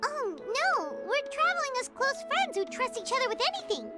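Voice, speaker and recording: Tiny fairy companion for a game: very high, squeaky, wildly animated, nosy and excitable. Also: female voice, normal speed, music in the background. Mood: eager. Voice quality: squeaky, childlike. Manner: exclamatory, incredulous. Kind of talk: video game voice acting.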